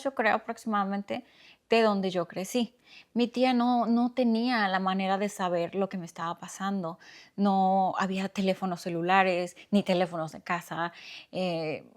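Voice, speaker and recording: A young woman from Oaxaca speaks with a Mexican accent in a medium-pitched voice. She hosts her podcast alone, telling a personal story in a soft, reflective tone. She takes her time and pauses often. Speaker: podcast host.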